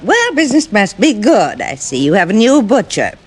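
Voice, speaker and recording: A woman's voice: mid-range voice, very animated, normal speed, breathy edge. An actress playing a polite, puzzled older lady customer in an old radio drama, with a fluttery, questioning manner. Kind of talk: 1940s-50s radio drama acting. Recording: old-time radio recording.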